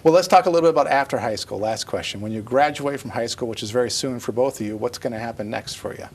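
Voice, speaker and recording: A man's voice: mid-range voice, slight background noise. A man hosting a school district TV program, interviewing students in a studio. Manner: brisk, conversational. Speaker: TV host.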